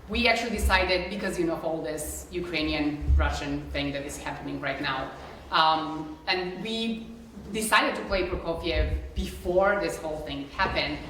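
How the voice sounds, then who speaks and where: A woman's voice: on the low side, lively intonation, normal speed, fairly bright tone, recorded from a distance, a little hiss or hum. A young woman with a Serbian accent, chatting casually to a concert audience with many fillers, as a flutist introducing the program. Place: concert hall. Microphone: distant room mic. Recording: echoey hall recorded from afar.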